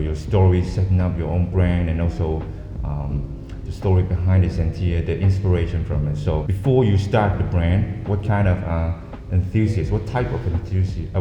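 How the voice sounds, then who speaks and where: A male host with a low voice leads a casual interview, often restarting his sentences and filling gaps with uh and um. The sound is somewhat dull and reverberant, with music playing in the background. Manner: halting, frequent restarts and fillers. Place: office.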